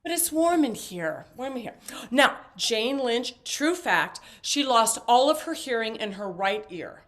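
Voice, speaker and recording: Woman playing flighty older mother, high voice, unhurried pace, chatty and intrusive, theatrical stage acting. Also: very animated. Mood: rambling, eager. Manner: rambling, chirpy, theatrical trivia-telling.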